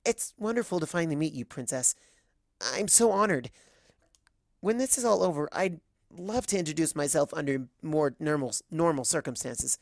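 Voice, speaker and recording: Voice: mid-range voice, expressive, moderate pace, vocal fry, a little mellow, no room sound, clean studio sound, pitched above the performer's own voice. A performer voicing a young female pony, nervous yet brave, who speaks in an earnest, hesitant, gushing way in a story reading. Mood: earnest, determined.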